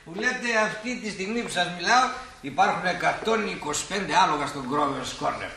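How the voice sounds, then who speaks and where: An actor playing a kindly, chatty older small-town shopkeeper in a stage drama, bustling along, repeating himself and grumbling good-naturedly. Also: male voice, sits high, expressive, sharp tone, reverberant, a little hiss or hum, exaggerated voice. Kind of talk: stage drama acting for broadcast.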